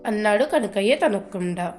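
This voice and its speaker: A woman's voice: mid-range voice, lively intonation, moderate pace. A woman narrating a scripted moral folk tale as a storyteller. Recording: roomy echo, music underneath.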